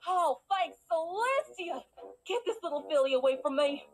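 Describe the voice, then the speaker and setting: High pitched huffy cartoon wolf pony woman acting it, exasperated, faint music behind. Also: expressive, normal speed. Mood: frantic relief. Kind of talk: animated story parody voice acting.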